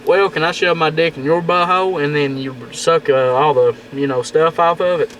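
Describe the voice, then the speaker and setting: A man's voice: on the high side, steady tone, moderate pace, heavy background noise. A man taunting someone with crude jokes over a CB radio handset from a parked truck. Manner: crude, taunting, laughs a lot. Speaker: CB radio caller. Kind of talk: crude joking banter on CB radio.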